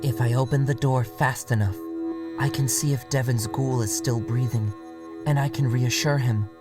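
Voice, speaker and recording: Music plays behind a man reading as a woman vampire in an audio drama. The voice is a mid-range male one, measured and brooding. The confession is bitter, devoted and quietly menacing. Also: mid-range voice, fairly even intonation, natural voice. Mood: devoted, satisfied. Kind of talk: dramatic first-person monologue in an audio drama.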